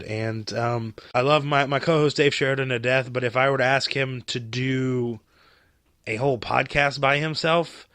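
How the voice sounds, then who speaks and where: Man, podcast host, monotone, rambling, just over a cold, dry close sound. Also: mid-range voice, no echo. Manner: self-described monotone, rambling with many fillers. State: recovering from a cold. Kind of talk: casual solo podcast talk.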